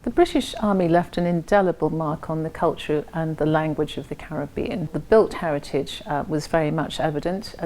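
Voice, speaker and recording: Mellow low-voiced woman interviewee. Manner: conversational, explanatory, with fillers. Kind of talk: documentary interview.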